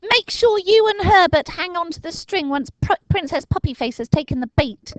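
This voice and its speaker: Woman doing a panicky high-voiced little boy. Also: animated, normal speed, no room sound. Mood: urgent. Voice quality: stammers when scared. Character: frightened excitable young boy.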